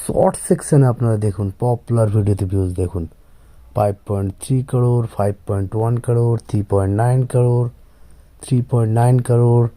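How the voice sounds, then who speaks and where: A man hosts a screen-recording tutorial in a very soft-edged, dark voice at a middling pitch. His recording is dry and close. He walks viewers through each step, repeating points and calling them friends. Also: fairly even intonation, fast talker, no room sound. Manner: repetitive, addresses viewers as friends. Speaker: YouTube tutorial host.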